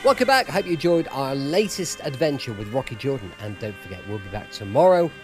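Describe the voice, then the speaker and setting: A man's voice: mid-range voice, very animated, brisk, over music. A man hosting a podcast, giving a friendly sign-off to listeners. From England. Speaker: podcast host.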